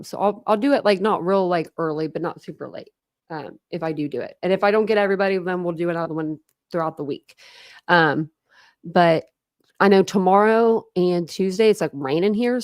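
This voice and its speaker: A young woman, chatting casually on a livestream and rambling with lots of fillers, her voice going hoarse. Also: on the low side, no room sound, clean studio sound. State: losing her voice, throat clearing. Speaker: true crime streamer.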